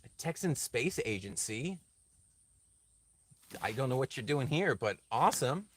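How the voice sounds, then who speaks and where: A man's voice: medium pitch, big pitch swings, a little slow, a bit bright. Reflective man chatting on a livestream. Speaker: craftsman livestreaming to viewers. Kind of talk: casual livestream chat, answering viewer comments.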